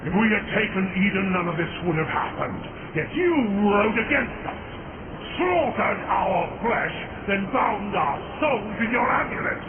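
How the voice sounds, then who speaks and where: A man's voice: high-pitched, big pitch swings, measured pace, very noisy. A man playing a bitter, vengeful fallen warrior turned spirit of corruption in a video game, speaking in a menacing, theatrical, taunting way. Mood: wrathful. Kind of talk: video game cutscene acting. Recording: dull game audio, loud background ambience.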